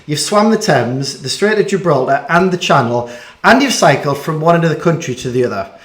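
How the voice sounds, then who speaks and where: A man with a slightly high voice hosts a casual question-and-answer chat. He is chatty and self-deprecating and often trips over his words. The room around him is noticeably echoey. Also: lively intonation, brisk, a bit bright. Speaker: interviewer hosting a school bedtime story chat.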